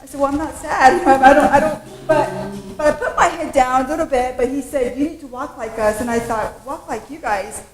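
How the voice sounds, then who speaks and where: High-voiced Okinawa-born woman in an echoey room. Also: a little fast, hardly any pauses. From Okinawa, Japan. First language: Japanese. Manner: animated, laughing, repeats words. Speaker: audience member. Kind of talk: storytelling from the audience. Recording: echoey room with clear noise.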